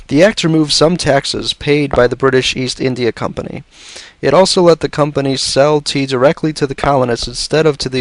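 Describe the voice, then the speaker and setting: A man reads a scripted educational narration in a measured, expository way. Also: mid-range voice, dry recording, rough recording.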